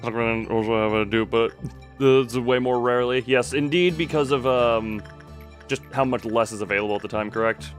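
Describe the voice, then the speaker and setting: A man's voice: mid-range voice, steady tone, moderate pace, faint music behind. A man giving casual livestream commentary on a game, rambling and breaking off his own sentences with wry asides. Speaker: video game streamer.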